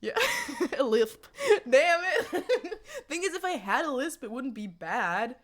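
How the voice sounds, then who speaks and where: A woman's voice: sits high, takes it slowly. A woman in her twenties chatting on a livestream from a home room into a desk condenser mic. She answers viewer chat casually and laughs often. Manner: giggly, laughs often, jokey and animated. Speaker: streamer. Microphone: USB condenser desk mic.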